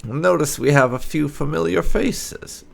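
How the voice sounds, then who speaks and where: A man's voice: a little high, unhurried, creaky. A man giving casual, conversational gameplay commentary as a video host, with some light roleplay.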